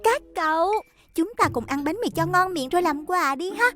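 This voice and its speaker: Very high, sweet cartoon child. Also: female voice, expressive, normal speed, a bit bright, soft background music. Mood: pleading. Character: sweet, earnest child peacemaker, eager to reconcile friends. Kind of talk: animated series dubbing.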